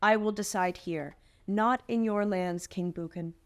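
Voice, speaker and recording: A woman playing a calm, shrewd princess in a fantasy screenplay table read, speaking formally and diplomatically. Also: medium pitch, unhurried, natural voice. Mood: firm, composed. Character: calm, stoic, shrewd young princess bargaining with captors.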